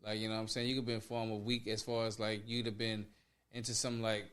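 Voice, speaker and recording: A man with a New Orleans accent on a casual podcast, halting as he searches for words and peppering in slang. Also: on the low side, little pitch movement, a bit bright, smooth. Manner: halting, searches for words, says "dog" and "bro". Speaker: podcast co-host.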